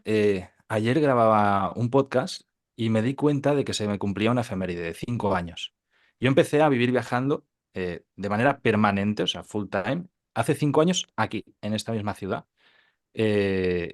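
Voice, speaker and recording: Low-voiced man Catalonia, casual. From Catalonia, Spain. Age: 30s. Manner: informal, halting with repeated words and tag questions. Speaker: podcast guest, digital entrepreneur. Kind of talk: casual interview storytelling.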